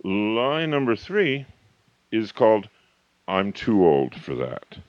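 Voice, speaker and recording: A man in his fifties giving an emphatic motivational talk, slow and deliberate, with rhetorical questions. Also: fairly low pitch, sing-song, no echo. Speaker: motivational author and speaker. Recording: dull, lo-fi dry recording.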